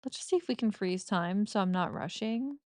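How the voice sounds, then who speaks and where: A woman's voice: medium pitch, expressive, fairly quick, darkish tone, no room sound, clean studio sound. A woman chatting casually while playing a cozy farming game, giggly and self-mocking, with a slightly stuffy nose. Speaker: gaming streamer. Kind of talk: casual let's-play commentary.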